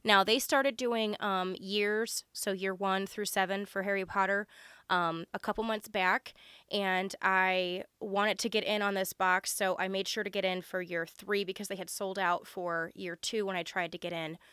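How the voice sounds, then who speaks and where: A young woman with a hoarse, congested voice chats her way through an unboxing, full of ums and little gushes of delight. Under the sickness her voice stays smooth and even. The recording is close and dry. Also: mid-range voice, moderate pace, dry recording. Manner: chatty, lots of ums, gushing over items. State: hoarse and congested from being sick. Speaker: unboxing vlogger. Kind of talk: casual unboxing chat.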